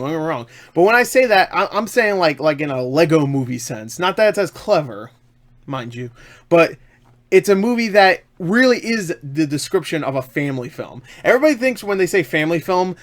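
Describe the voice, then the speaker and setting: A man giving a casual, rambling movie review to camera, enthusiastic and jokey, often interrupting himself with little asides. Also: fairly high pitch. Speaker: online movie reviewer and host. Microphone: webcam setup.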